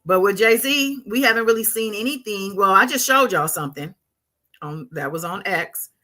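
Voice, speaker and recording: A woman hosting a casual live stream, talking through viewer comments in a conversational, halting way as she thinks aloud. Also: mid-range voice, animated, pushed and bright.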